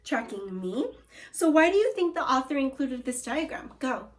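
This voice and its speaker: A woman's voice: sits high, big pitch swings, faint music behind. A woman teacher reading a nonfiction book aloud to young children and asking them questions. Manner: slow, deliberate, addresses kids as scholars. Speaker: second grade teacher. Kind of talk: read-aloud lesson for children.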